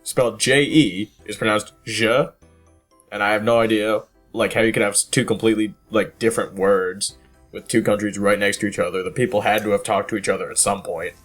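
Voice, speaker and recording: A man talking casually in a relaxed group chat, rambling and hesitant as he tells a story. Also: lowish voice, a little fast, faint music behind. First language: English. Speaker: podcast guest.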